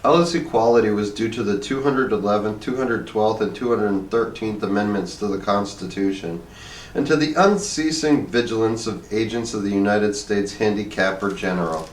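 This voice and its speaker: A man reading a short story aloud as an expressive narrator. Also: fairly low pitch, moderate pace. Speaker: story reader. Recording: echoey room, distant mic, faint noise.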